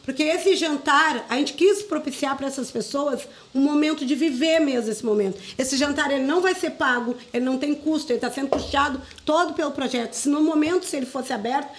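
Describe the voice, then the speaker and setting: A woman's voice: sits high, brisk, few pauses. A woman from southern Brazil with a Rio Grande do Sul accent, speaking earnestly and conversationally as an interview guest. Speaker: interview guest, community group coordinator. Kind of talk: conversational radio interview talk.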